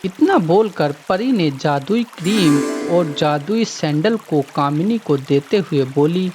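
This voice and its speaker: Woman narrator, low voice, slow, calm and measured, cartoon story, loud music behind. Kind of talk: scripted narration.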